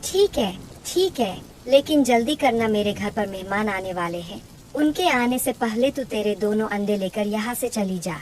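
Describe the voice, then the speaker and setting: A woman voicing a brash, scheming, sharp-tongued female bird in a cartoon story. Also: mid-range voice, animated, moderate pace, natural voice. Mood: impatient. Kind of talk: Hindi cartoon story acting.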